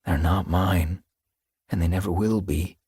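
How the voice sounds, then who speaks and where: A man's voice: deep voice, steady tone, a little slow, a little mellow, breathy edge, no echo, clean studio sound, full character voice. A man voicing a grieving young male warrior cat in an audiobook, speaking earnestly and steadily. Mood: quiet, moved. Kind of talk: audiobook character voice.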